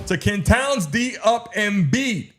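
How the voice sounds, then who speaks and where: A man's voice: high voice, lively intonation, takes it slowly, a bit bright, a little hiss or hum. A man hosting a sports watch-along livestream, talking in a hyped, slangy, repetitive way as he urges the chat on. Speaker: livestream host.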